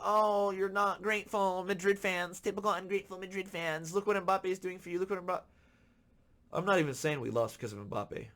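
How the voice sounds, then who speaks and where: Hoarse, ranting football podcast host. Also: male voice, fairly high pitch, a bit bright. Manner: frustrated, ranting, emphatic repetition. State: slightly hoarse from illness. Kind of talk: solo football commentary rant.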